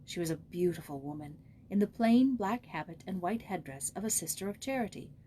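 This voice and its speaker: A woman reading a children's story aloud in expressive, scripted narration as an audiobook reader. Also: fairly low pitch, a little fast, slight background noise.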